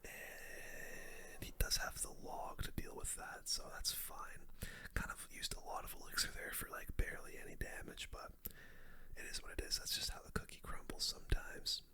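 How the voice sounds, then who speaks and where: A person whispering casual, chatty ASMR gameplay commentary in a breathy, unvoiced voice, close to the microphone. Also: normal speed, sharp tone. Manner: casual, chatty gamer slang. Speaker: gaming video host.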